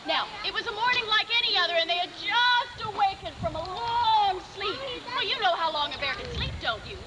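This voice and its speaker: A woman's voice: very high voice, lively intonation, fairly quick, heavy background noise. A woman storyteller narrating a children's theatre show, playfully coaxing the audience with questions. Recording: live theatre recording with loud music.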